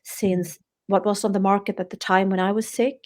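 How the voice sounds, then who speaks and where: A woman's voice: mid-range voice, flat delivery, normal speed, a little mellow. A middle-aged woman from Sweden with a Swedish accent, a guest author and yoga therapist talking earnestly and reflectively in a podcast interview, often restarting her phrases.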